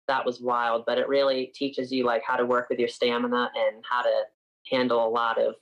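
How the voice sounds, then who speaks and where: A man's voice: mid-range voice, completely flat delivery, normal speed, fairly bright tone, dull audio. Chatty young man from upstate New York. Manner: chatty, frequent "like" fillers. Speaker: singer guest. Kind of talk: casual interview chat.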